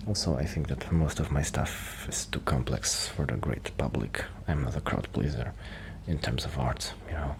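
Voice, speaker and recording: A man doing a soft-spoken, half-whispered ASMR roleplay as a shy, quiet musician friend, rambling hesitantly and interrupting himself. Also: a bass voice, moderate pace, vocal fry, hardly any pauses, noisy background. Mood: modest, resigned.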